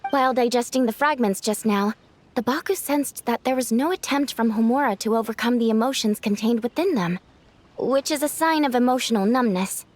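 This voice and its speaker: A woman playing a clinic worker who explains things calmly in a game. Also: fairly high pitch, a little fast. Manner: calm and explanatory. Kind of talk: video game voice acting.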